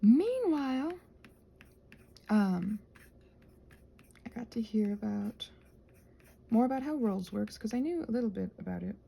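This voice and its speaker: A woman's voice: on the high side, sing-song, a little slow, creaky, long pauses, warm tone, breathy edge. A woman chatting casually in a whimsical, digressive way while she does crafts. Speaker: craft vlogger.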